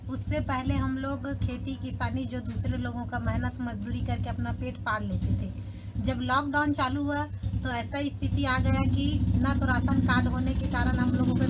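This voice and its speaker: A woman's voice: high-pitched, heavy background noise. A woman being interviewed who tells plainly and earnestly what happened to her as a migrant worker. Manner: plain, earnest storytelling with short restarts. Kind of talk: recounting personal experience in an interview.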